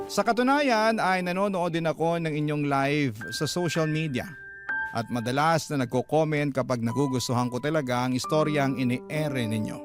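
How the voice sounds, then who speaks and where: A man with a Filipino accent reading a letter as a radio host, in emotive, dramatic scripted narration phrase by phrase. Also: fairly high pitch.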